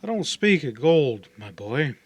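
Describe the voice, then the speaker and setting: Man reading as a measured older gentleman. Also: fairly high pitch, sing-song, breathy edge, dry recording, full character voice. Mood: kindly. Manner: measured, formal, musing. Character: weary older gentleman stranger, educated, reserved and kindly. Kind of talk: audiobook character dialogue.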